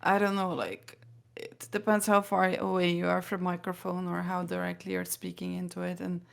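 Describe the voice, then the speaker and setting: A woman's voice: fairly low pitch, completely flat delivery, normal speed, vocal fry. A woman co-hosting a casual gaming talk show, speaking hesitantly and often trailing off mid-thought.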